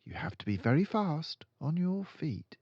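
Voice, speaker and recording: A clever little schoolgirl from a storybook, voiced by a man, high and lilting. She is sure of herself and explains things emphatically, with a knowing, matter-of-fact air. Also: very animated, unhurried, darkish tone, slightly rough, dry recording, dull audio, full character voice.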